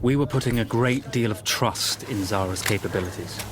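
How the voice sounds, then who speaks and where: An actor playing a composed officer who recounts a desert war mission in measured, assured storytelling for a video game. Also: male voice, on the low side, noisy background, close to an everyday voice. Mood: calm, grave. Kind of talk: scripted dramatic game narration.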